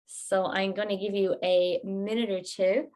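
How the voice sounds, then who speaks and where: A woman leads a guided relaxation as a yoga teacher. Her voice sits at a medium pitch for a woman, and she speaks gently and without hurry, giving soothing, simple instructions. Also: flat delivery, unhurried. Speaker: yoga nidra teacher guiding a class.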